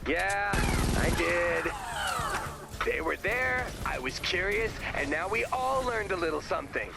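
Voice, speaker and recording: A woman voicing a cheeky, unrepentant character in a video game, with loud music behind her. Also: on the low side, expressive, a little slow, gravelly.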